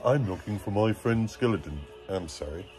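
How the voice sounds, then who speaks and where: A man's voice: lowish voice, expressive, moderate pace, darkish tone, soft background music, natural voice. Man voicing an earnest, eager little goblin. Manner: eager, exclaiming. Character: earnest little male goblin searching for his friend.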